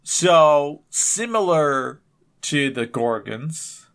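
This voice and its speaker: A man's voice: fairly high pitch, expressive, very slow, fairly bright tone, clean tone. A man co-hosting a podcast in casual, jokey banter, rambling off on tangents. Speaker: podcast co-host.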